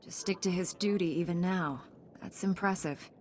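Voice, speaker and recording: An actress playing a calm, resolute heroine knight in a video game, composed and measured. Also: female voice, on the low side, a little hiss or hum, natural voice. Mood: sombre. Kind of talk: video game character acting.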